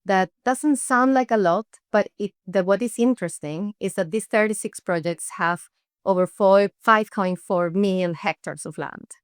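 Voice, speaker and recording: A woman from Peru, an NGO research head, explaining things in an interview with measured, rhetorical questions. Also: mid-range voice, clean tone, no echo, studio quality.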